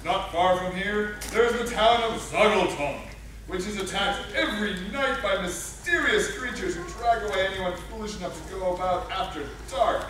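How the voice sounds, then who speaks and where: A man playing a pompous, hearty gentleman adventurer with grandiose delivery in a comic radio play. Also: normal speed. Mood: grandly boastful. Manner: grandiose, booming declamation. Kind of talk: comic radio play acting before a live audience. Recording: echoey hall from far off, faint noise.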